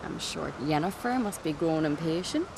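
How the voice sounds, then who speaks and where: A woman playing a frank, self-assured island woman in a fantasy video game, speaking in a measured way. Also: low voice, expressive, vocal fry, noisy background. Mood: teasing.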